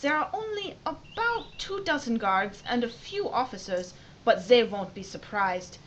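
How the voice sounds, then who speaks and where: High-voiced, frightened German refugee. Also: female voice, expressive, unhurried, fairly bright tone. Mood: urgent, pleading. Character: frightened young German woman, escaped camp captive. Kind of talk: audio drama acting. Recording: muffled with hiss, ambient sound effects.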